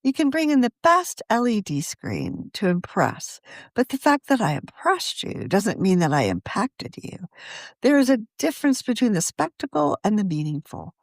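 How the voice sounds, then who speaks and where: Lively-pitched woman reading an article. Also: moderate pace, no room sound, clean studio sound. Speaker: magazine editor reading her own article. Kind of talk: scripted article narration for a podcast.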